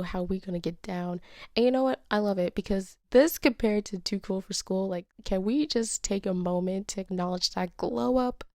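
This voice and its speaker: A woman's voice: mid-range voice, dark tone, no echo, clean studio sound. A congested woman vlogger gushing about her favorite albums in a sassy, playful way. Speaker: YouTuber. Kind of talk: casual music ranking vlog.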